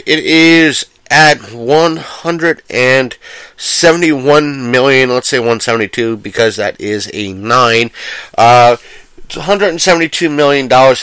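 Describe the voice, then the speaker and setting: Solo host, man, nasal from a cold, bright clear voice, rambling delivery. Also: fairly high pitch, no room sound, rough recording. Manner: rambling, halting, self-correcting. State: has a cold, sounds nasal. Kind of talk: casual solo movie-news commentary.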